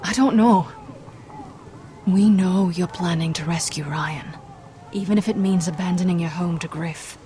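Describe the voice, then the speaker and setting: Young noblewoman for fantasy game , played by a woman: dark-toned, lowish, guarded, earnest, grave and formal. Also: a little hiss or hum, close to an everyday voice. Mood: urgent, grave. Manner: measured, grave, formal phrasing. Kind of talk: video game drama voice acting.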